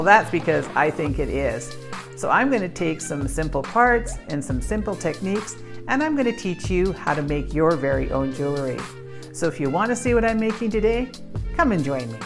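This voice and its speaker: Craft host, woman, low lively voice, chatty teaching delivery, music behind. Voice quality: croaky. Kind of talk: step-by-step craft tutorial.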